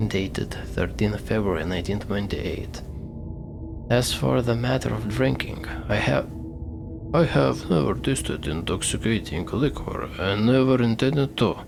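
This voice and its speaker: A man who streams games, reading on-screen text aloud in a halting way and commenting on it. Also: fairly low pitch, vocal fry. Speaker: game streamer.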